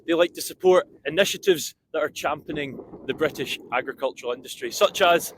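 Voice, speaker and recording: Man, somewhat high voice, reading a sponsor spot in a measured, scripted way. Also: a bit bright, slight background noise, poor audio. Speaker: podcast host reading a sponsor message. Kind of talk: read sponsor message.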